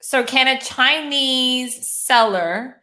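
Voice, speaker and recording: Bright-voiced woman from New Zealand, interviewing. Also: sits high, slow. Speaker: host. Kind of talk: conversational interview.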